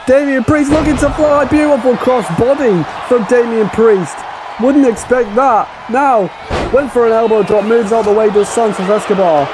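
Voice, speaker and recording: A man calling a wrestling match play by play, excitable and often shouting over the action. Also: very high-pitched, normal speed. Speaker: play-by-play commentator. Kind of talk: wrestling commentary. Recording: loud crowd and noise behind.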